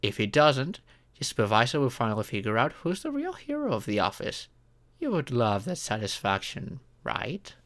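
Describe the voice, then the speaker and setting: A performer voicing a caring, earnest young romantic partner in an intimate ASMR roleplay, with deliberate pauses. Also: medium pitch, huge pitch swings, fairly bright tone. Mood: warm, encouraging.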